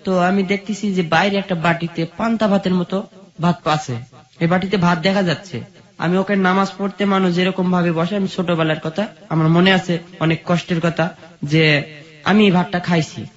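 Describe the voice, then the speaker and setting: High-voiced man with a rural Bangladeshi accent. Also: fairly even intonation, moderate pace. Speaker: guest telling life story. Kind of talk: personal storytelling in an interview. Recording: dull, muffled lo-fi sound.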